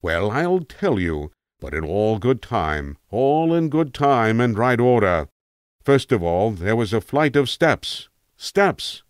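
A man's voice: medium pitch, big pitch swings, takes it slowly, no room sound, clean studio sound, voice pushed up. A man voicing an eccentric, opinionated old tramp telling a rambling tale in an emphatic, self-assured way, as an audiobook character. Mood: teasing, eccentric. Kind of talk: acted audiobook character monologue.

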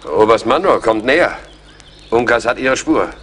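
A man's voice: mid-range voice, animated, normal speed, a bit bright, a little hiss or hum, close to an everyday voice. A man acting a weary, doubtful army major in a German radio play, formal and questioning.

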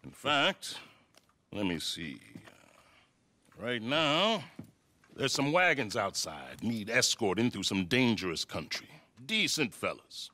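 A stern, menacing frontier sheriff in a video game cutscene. He speaks slowly, with a bright, forceful edge, and his lively pitch swoops up and down as he lays down the law. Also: exaggerated voice. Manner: slow, measured threats with sudden swells.

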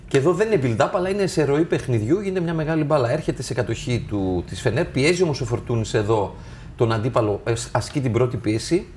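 A man's voice: medium pitch, darkish tone. Man, tactical analyst, step-by-step clip breakdown, faint background noise. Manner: walks through clips step by step, addressing viewers. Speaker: football analyst. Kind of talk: tactical match analysis over video.

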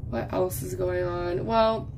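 Slow, chatty woman crafting and talking. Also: fairly low pitch, fairly even intonation, gravelly, a little mellow, a little hiss or hum. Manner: rambling, chatty, with frequent "like" and "um". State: recovering from lost voice, coughing. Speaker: crafting vlogger. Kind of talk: casual chat while diamond painting. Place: indoors at a craft table.

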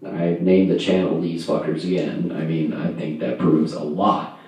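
A young man doing a casual amateur stand-up routine in a halting, rambling, self-deprecating and profane way. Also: deep voice, little pitch movement, darkish tone. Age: young adult. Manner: profane, vulgar. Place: indoors, small home room. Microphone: distant camera, handheld microphone in view. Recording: echoey room, far from camera.

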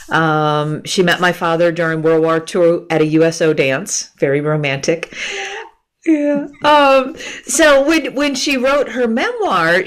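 A woman in her fifties, a guest author on a video call, explaining things patiently and laughing often. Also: lowish voice. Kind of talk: conversational interview. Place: room with bookshelves. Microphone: earphone mic on a video call.

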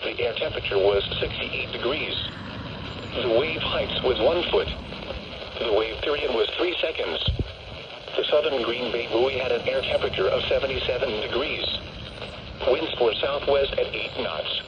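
A man announcing on weather radio, reading a scripted forecast in a stilted, measured, impersonal way through a small receiver speaker. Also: medium pitch. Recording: dull, very noisy weather radio broadcast.

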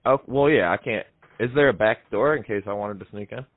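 A man's voice: fairly low pitch, a little fast, dry recording. A young man improvising a prank phone call in an earnest, rambling manner. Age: young adult. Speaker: prank caller on a comedy show.

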